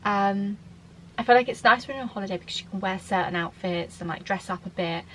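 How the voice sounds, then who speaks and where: A woman's voice: medium pitch, brisk, slight background noise, low-quality recording. Chatty young British woman rambling. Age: 20s. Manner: rambling, chatty, many fillers and restarts. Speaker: vlogger. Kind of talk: casual chatty Q&A.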